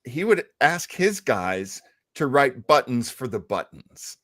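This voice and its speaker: A man's voice: mid-range voice, sing-song, slow pace, a bit bright. A man hosting a casual live talk show, telling stories in a chatty, jokey, self-deprecating way. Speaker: host. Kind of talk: casual live show chat about old-time radio.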